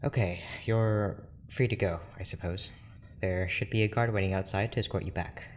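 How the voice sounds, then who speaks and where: A man acting a reserved, clinical research doctor in an audio drama, formal, measured and dryly blunt. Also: low voice, a little hiss or hum, natural voice. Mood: guarded. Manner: formal, measured, clipped, deadpan.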